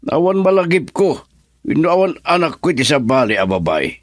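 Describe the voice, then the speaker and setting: A man's voice: fairly high pitch, big pitch swings, fairly quick, no echo, clean studio sound. An actor in an Ilocano radio drama playing a guarded businessman husband who denies everything, with a Filipino accent. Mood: defensive.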